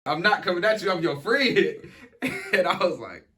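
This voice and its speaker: A man's voice: high-pitched, animated, moderate pace, pushed and bright. A young man talking reflectively in casual chat as a podcast guest, with many fillers, restarts and an occasional stutter.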